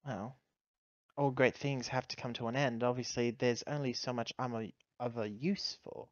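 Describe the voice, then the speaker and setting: A man's voice: mid-range voice, fairly even intonation, normal speed, no room sound, dull audio, natural voice. Man playing a shrewd, coy female android agent. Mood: knowing.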